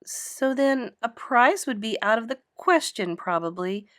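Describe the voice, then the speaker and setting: A woman's voice: on the high side, expressive, measured pace, fairly bright tone, no echo, studio quality. A woman voicing a brash, funny kindergarten girl with emphatic, childlike grammar in a children's storybook. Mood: hopeful. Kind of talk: animated children's book read-aloud.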